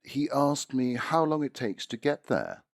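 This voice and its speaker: A man's voice: mid-range voice, sing-song, unhurried, vocal fry, dry recording, studio quality. A man playing a helpful, hesitant Victorian silversmith in an audio drama.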